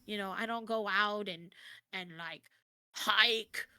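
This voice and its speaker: A woman's voice: medium pitch, steady tone, slow pace, pushed and bright, clean tone, no echo. An American woman chatting casually and expressively as a guest on an interview stream. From United States. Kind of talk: casual chat.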